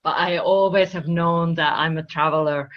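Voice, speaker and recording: A woman with a Finnish accent, a spiritual counselor telling her story in a podcast interview, pausing and searching for words. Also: lowish voice, steady tone. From Finland. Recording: dull lo-fi sound.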